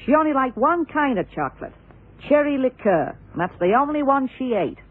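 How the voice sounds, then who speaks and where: An actress playing a blunt, hard-bitten theatre dresser in a 1970s radio crime drama, talking candidly and colloquially. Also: female voice, sits high, sing-song, brisk, slightly rough, full character voice. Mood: explaining. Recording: tinny, band-limited old radio recording.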